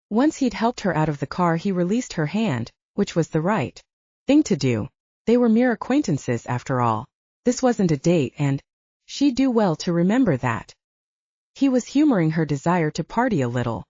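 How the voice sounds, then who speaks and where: A woman's voice: fairly low pitch, warm tone, dry recording, lo-fi sound. A woman reading a romance novel aloud as a scripted audiobook narrator.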